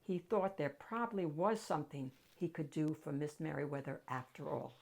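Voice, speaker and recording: A woman narrating a children's picture book aloud with dramatic, expressive storytelling. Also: low voice, normal speed, creaky.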